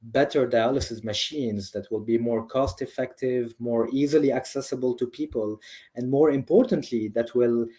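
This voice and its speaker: A man of medium pitch speaks as a doctor and interview guest. His answers are conversational and enthusiastic, explaining things in an informal way. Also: moderate pace, warm tone. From Lebanese family, grew up in several countries.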